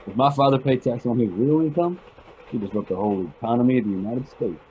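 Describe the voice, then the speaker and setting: A man's voice: mid-range voice, quick pace, mellow, muffled recording, a little hiss or hum, close to an everyday voice. A man playing a blasé, boastful young heir who speaks in an understated, matter-of-fact way in a radio drama. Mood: smug, blasé. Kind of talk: old-time radio drama acting.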